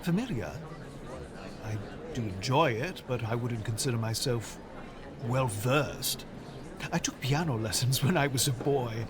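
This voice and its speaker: An excitable teacher with a warm, lively, bouncing voice, played by a man. He sounds delighted and chatty over a busy crowd murmur. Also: medium pitch, a little slow, close to an everyday voice. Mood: eager. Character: eager friendly male teacher, excitable. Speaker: teacher who likes opera. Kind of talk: audio drama acting.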